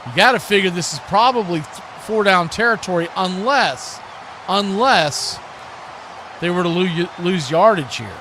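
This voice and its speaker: An American man calling live football play-by-play in excitable, clipped phrases. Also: sits high, measured pace, noisy background. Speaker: play-by-play announcer.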